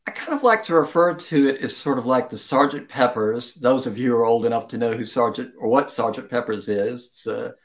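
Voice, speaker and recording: A man's voice: mid-range voice, fairly quick, few pauses. An older man answering interview questions as a writer, in a rambling, self-deprecating, chuckling conversational way.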